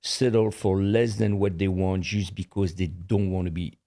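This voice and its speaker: A man's voice: low-pitched, level tone, normal speed, mellow. An older man from France with a French accent, speaking frankly as a podcast co-host and repeating words as he searches for English. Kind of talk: casual chat.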